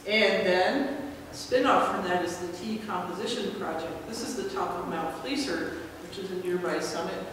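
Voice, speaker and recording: A woman presenting her research at a conference, in a relaxed, chatty way with frequent pauses. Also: fairly low pitch. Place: large lecture hall. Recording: echoey hall, mic far off, noisy.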